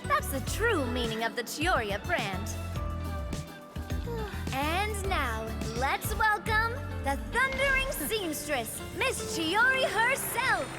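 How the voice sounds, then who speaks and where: Very high, grandiose show announcer. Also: female voice, big pitch swings, slow, full character voice. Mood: excited. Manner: theatrical, ceremonious. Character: grandiose, excitable female fashion show announcer. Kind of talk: video game voice acting, showy announcing. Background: very loud show music.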